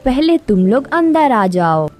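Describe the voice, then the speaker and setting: A woman's voice: a little high, big pitch swings, unhurried, darkish tone, pitched above the performer's own voice. A woman voicing a kind, helpful young female bird with a concerned, caring manner in a children's cartoon story. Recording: music behind the voice.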